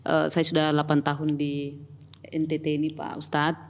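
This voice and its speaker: Low-voiced woman with a Batak accent. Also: completely flat delivery, moderate pace, big-room sound. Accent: Batak-flavoured Indonesian. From North Sumatra (Batak). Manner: earnest, explanatory, often adds "gitu ya". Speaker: guest, church pastoral team member. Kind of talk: podcast conversation.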